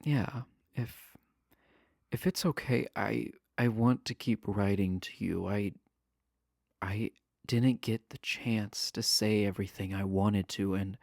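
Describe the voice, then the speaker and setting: An actor playing a shy, adorkable imprisoned villain in a romance audio roleplay, nervous, earnest and stammering. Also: male voice, fairly low pitch, vocal fry, darkish tone, dry recording, clean studio sound. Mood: apologetic, nervous. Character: shy, adorkable imprisoned male villain, smitten with pen pal.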